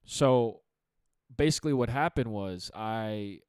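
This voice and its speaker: Deep-voiced man, smooth and dry. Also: slow pace, dry recording. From Chicago area. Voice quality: deep radio-type voice. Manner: animated, laughs often, talks in bursts with restarts. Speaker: podcast co-host. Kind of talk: casual podcast chat.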